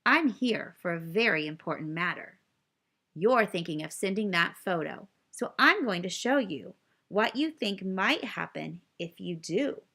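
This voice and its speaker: A woman voicing a wise old male owl in a children's storybook, earnest and explanatory, with a calm, measured manner. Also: medium pitch, heavily acted. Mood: serious. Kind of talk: children's storybook read-aloud.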